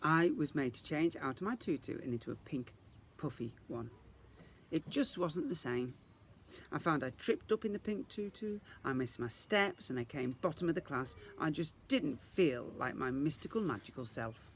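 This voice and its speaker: Male teacher-narrator for young children's stories, fairly high voice, very animated intonation, noticeable background noise. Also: normal speed. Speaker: teacher reading a story aloud. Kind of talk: expressive children's storybook narration.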